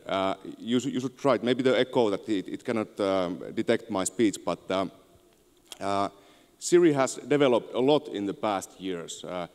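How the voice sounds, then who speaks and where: A man in his thirties with a Finnish accent, giving an informal, somewhat halting conference presentation on a handheld microphone. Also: on the low side, darkish tone. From Finland. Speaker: conference speaker, tech entrepreneur. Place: on stage in a large auditorium. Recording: echoey large hall, long reverb.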